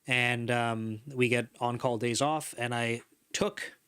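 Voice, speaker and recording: A man in his mid thirties talks casually to his livestream chat into a desk microphone in a home room. His voice is smooth and clean, sitting at a middle pitch. He rambles easily and laughs often. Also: fairly even intonation, slow, bright, forceful tone. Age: 30s. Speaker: livestream host. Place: home studio room with couch and neon lights. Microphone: desk microphone in front.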